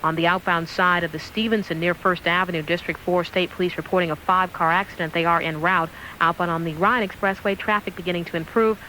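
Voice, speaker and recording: A woman's voice: on the low side, fairly even intonation, fast, pushed and bright, low-quality recording. An American woman giving a radio traffic report.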